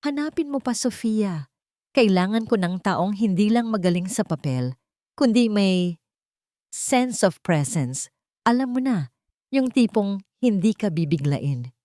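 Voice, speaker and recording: A woman's voice: medium pitch, expressive, a little slow, dark tone, no echo, voice pushed up, lightly acted. Cool, exacting male tycoon read by a woman, composed and curt, drama storytelling, dry studio sound. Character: cool, exacting wealthy male executive boss.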